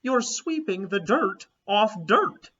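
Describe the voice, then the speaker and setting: A man's voice: high voice, big pitch swings, takes it slowly, pushed and bright, husky, pitched above the performer's own voice, exaggerated voice. An eager, outspoken little schoolgirl, played by a man in a raised, bouncy voice from a children's storybook. She is curious and chatty. The recording is a bit dull and lo-fi.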